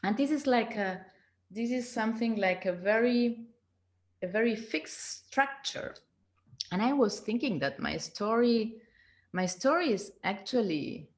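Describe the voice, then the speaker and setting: Slow-talking woman in her fifties. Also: mid-range voice, expressive. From Argentina. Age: 50s. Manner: slow, searching, repeats words. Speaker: guest, leadership coach. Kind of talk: interview answers. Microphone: video call.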